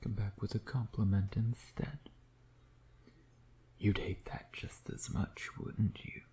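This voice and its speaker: A man playing a smug, teasing vampire predator in an intimate ASMR roleplay, sardonic and flirtatious, often slipping into breathy murmurs. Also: on the low side, fairly even intonation, takes it slowly, dark tone.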